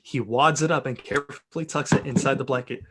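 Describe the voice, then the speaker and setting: A man reads screenplay narration aloud with theatrical flair. His pitch is middling, and he sounds amused, ready to step out of the text for a quick aside. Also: fairly even intonation, background noise. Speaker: reader of narration and stage directions. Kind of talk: dramatic reading of a film script.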